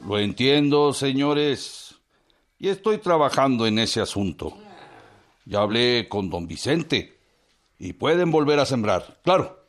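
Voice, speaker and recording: An actor playing an evasive state governor in a radio drama, speaking in an official, placating way. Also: male voice, medium pitch, big pitch swings, slow.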